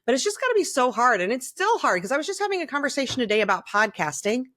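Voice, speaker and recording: A woman's voice: sits high, big pitch swings, fast, a bit bright, no room sound. A woman co-hosting a casual podcast, talking candidly and enthusiastically with rambling asides, close to the mic. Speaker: podcast co-host. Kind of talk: casual conversational podcast chat.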